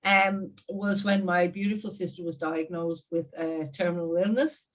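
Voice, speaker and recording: Irish woman, 50s, interview guest, lively chatty storyteller who laughs a lot. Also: fairly low pitch, moderate pace. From Ireland. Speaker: guest marketing strategist. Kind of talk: casual interview talk.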